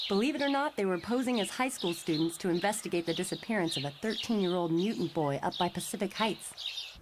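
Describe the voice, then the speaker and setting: A woman's voice: lowish voice, background noise, lightly acted. A woman voicing a friendly, knowing young telepath who guides a newcomer in a motion comic, chatty and matter-of-fact. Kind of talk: expository motion comic voice acting.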